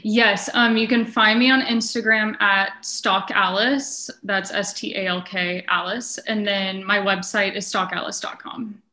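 A woman's voice: medium pitch, steady tone, unhurried, few pauses, sharp tone. A woman speaking casually as a podcast guest, on a roomy recording. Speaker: guest astrologer. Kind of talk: casual chat.

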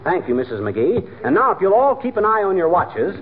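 An actor playing a pompous, blustery small-town fire commissioner in a 1940s radio comedy. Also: male voice, high voice, big pitch swings, a little fast. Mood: officious. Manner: pompous, blustery, mangles grand phrases. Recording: tinny, noisy old radio broadcast.

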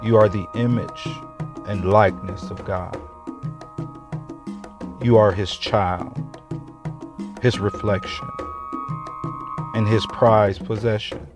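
A man delivers a spiritual meditation talk in a very deep voice. He speaks slowly and deliberately, with long pauses between phrases. Music with a wood block plays clearly behind him. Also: fairly even intonation.

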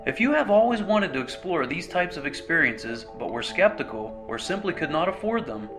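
A man's voice: a little high, big pitch swings, moderate pace. A man reading a scripted radio advert for his own services. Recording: voice over prominent music bed. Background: music.

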